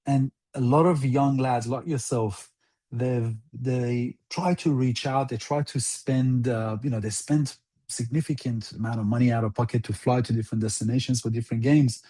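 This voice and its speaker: A man giving an earnest interview as a guest, speaking deliberately and haltingly, repeating phrases for emphasis. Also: mid-range voice, steady tone, warm tone, husky. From outside Iran. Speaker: interview guest, former national football team interpreter.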